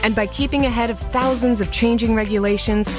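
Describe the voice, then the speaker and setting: A woman reading a modern corporate commercial in a smooth, reassuring way. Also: a little high, fairly even intonation, a little fast, music in the background. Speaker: commercial voice-over.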